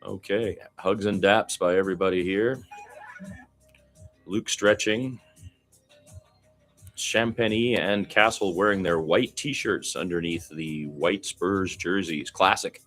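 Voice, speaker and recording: A man's voice: sits low, slow, pauses often, a bit bright, soft background music. A man doing amateur radio-style basketball commentary in a conversational, folksy manner. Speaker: play-by-play commentator.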